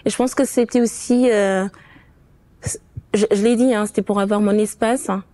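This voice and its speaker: A woman's voice: mid-range voice, steady tone, normal speed, mellow. A woman from Portugal, speaking French as an additional language, gives a personal testimony as an interview guest, with frequent stops and restarts. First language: not French. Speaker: interview guest, quality manager.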